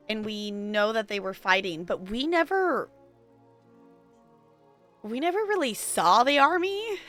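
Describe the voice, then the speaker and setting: A woman's voice: fairly high pitch, sharp tone. A woman game streamer giving chatty, casual playthrough commentary and reading dialogue replies aloud.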